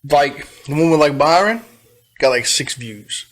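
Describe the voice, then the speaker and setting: A man's voice: fairly high pitch, animated, measured pace. Man with a blunt, skeptical manner. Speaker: podcast co-host. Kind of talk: casual chat.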